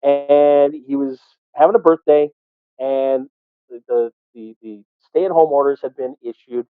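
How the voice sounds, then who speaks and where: A man telling stories conversationally as an interview guest, often halting and repeating words. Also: medium pitch, steady tone, smooth. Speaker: interview guest, sports arena PA announcer. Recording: roomy, thin phone-like call audio.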